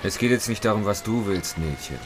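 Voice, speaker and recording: A man's voice: lowish voice, expressive, fairly quick, slight background noise, lightly acted. A man acting a sleazy, callous client in his forties in a German radio drama, patronizing and coldly threatening. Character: sleazy, menacing forty-two-year-old male client, cynical and callous.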